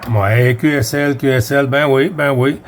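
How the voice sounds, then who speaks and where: Québécois guy on the cb, talks slow, drawn-out, fillers everywhere. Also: medium pitch, fairly even intonation, a little hiss or hum. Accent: Québécois French. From Quebec. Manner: drawn-out, filler-heavy, uses radio codes. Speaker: CB radio operator. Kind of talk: casual CB radio chat.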